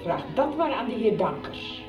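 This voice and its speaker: A woman's voice: fairly high pitch, sing-song, moderate pace, far from the mic, music in the background. A middle-aged actress playing a strict, interrogating mother in a radio soap. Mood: stern. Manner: stern, interrogating. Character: strict mother confronting her teenage son. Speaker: actor. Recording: dull roomy radio recording, off-mic.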